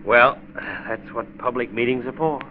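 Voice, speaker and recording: An actor playing an earnest, good-humored county doctor with an easygoing, wry manner in a 1940s radio drama. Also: male voice, medium pitch, fairly even intonation, a little slow, close to an everyday voice. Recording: tinny 1940s radio recording, noisy vehicle background.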